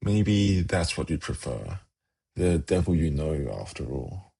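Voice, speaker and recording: A man playing a suave, haughty demon prince in an ASMR fantasy roleplay, speaking in a measured, formal, silkily condescending way with a gravelly, husky voice. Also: very deep voice, animated, mellow, exaggerated voice. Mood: soft, coaxing.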